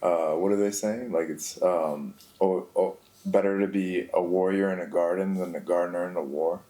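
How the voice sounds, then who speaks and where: A man's voice: low-pitched, fairly even intonation, normal speed, dark tone. A man chatting casually as a podcast guest, laid-back and full of "you know what I mean" asides. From Greek family, raised bilingual. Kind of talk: casual chat.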